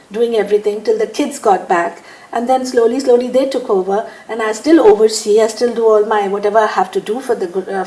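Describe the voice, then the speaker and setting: A woman's voice: a little high, a little mellow, echoey room, poor audio. An older woman from India talking at an easy pace in an interview, laughing often and using lots of fillers. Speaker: interviewee, businesswoman. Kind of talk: relaxed conversational interview.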